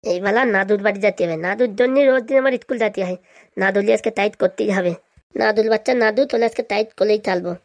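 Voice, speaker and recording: A woman's voice: mid-range voice, quick pace, dry recording, studio quality, lightly acted. A woman voicing a mischievous, scheming boy in a cartoon, with a sly, blustering, slangy manner. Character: scheming, mischievous boy, petty thief and braggart. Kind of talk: cartoon voice acting.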